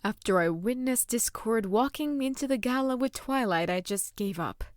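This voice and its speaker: Woman acting a regal, expressive pony princess. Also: a little high, normal speed, no room sound, lightly acted. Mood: wistful, defensive. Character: Princess Celestia, regal adult pony mare, composed, wistful. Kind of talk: animated-series fan audio drama.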